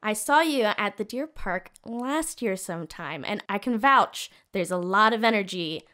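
A woman co-hosting a podcast in casual conversation. Her pitch sits in the middle and swings widely, giving her talk a very lively intonation. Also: normal speed. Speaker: podcast co-host.

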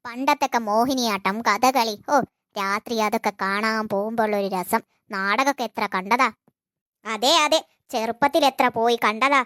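A woman's voice: a little high, moderate pace, fairly bright tone, no room sound, clean studio sound, lightly acted. A woman voicing a nostalgic old village woman who grumbles about today's youth. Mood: wistful.

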